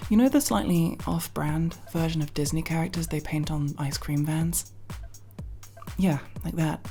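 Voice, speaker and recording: A woman playing a teasing, foul-mouthed tsundere tomboy friend in an ASMR roleplay, bickering playfully with mock-grand turns of phrase. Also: deep voice.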